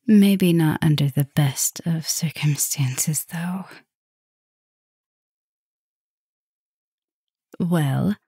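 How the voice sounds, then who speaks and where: A woman playing a tender, gently dominant vampire professor in an intimate ASMR roleplay, speaking softly and soothingly, often slipping toward a whisper. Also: deep voice, no room sound, clean studio sound, full character voice. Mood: calm, cautioning. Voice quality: soft, breathy, often dropping to a whisper. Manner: slow, soothing, affectionate murmuring with pauses.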